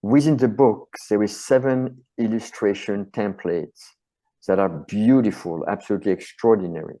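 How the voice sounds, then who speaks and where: A man's voice: on the low side, moderate pace, dark tone. A middle-aged man with a French accent, a chiropractor and author answering interview questions earnestly and passionately, often stacking phrases into lists.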